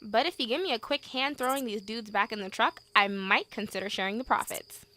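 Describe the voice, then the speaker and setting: A woman's voice: on the high side, a little fast, pushed and bright, slight background noise. A woman playing a brash, chatty, foul-mouthed young woman in an audio drama, blunt, casual and slangy. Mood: breezy, blunt. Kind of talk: amateur audio drama acting.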